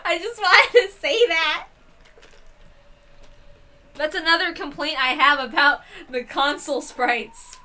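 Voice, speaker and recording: A woman reading a visual novel aloud in an expressive way, with casual asides as the host. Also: high voice, slow, pauses often.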